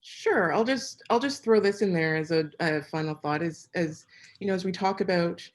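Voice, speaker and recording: A woman who works as a journalist, offering measured, thoughtful remarks as a panelist at a conference. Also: lowish voice.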